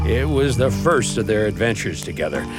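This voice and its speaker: Folksy Western narrator, very animated melody, heavy music bed. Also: male voice, lowish voice, normal speed. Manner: homespun drawl, salesman's wink. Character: folksy grown son narrating an Old West tale. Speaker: storyteller. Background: loud music.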